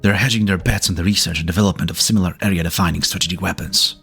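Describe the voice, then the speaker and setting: A man playing a composed government official in an amateur game fan dub, husky, formal, deliberate and serious. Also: low-pitched, fairly even intonation, a bit bright, soft background music, exaggerated voice. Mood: grave, measured.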